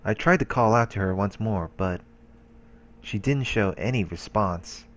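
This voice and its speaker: A let's play host reading a visual novel's narration aloud, with casual asides. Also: male voice, lowish voice, measured pace.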